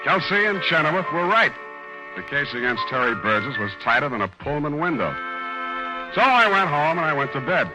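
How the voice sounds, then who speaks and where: An actor playing a hardboiled private detective who talks in a dry, wisecracking way, in 1940s-style radio acting. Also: male voice, fairly high pitch, sing-song, normal speed, music in the background, poor audio. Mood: narrating.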